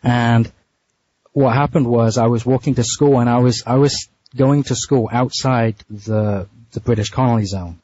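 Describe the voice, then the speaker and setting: A man being interviewed, telling a story in a casual, halting way with frequent restarts and repeated words. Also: medium pitch, fairly even intonation, mellow. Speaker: interview guest. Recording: muffled dry lo-fi line.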